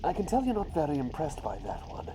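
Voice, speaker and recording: A man playing an eccentric, kindly doctor turned into a talking bird, in a soft, soothing, partly whispered ASMR roleplay with playful, repetitive phrasing. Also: fairly high pitch, huge pitch swings, background noise. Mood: playful, teasing. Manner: playful, avuncular British-style phrasing, with drawn-out repetitions.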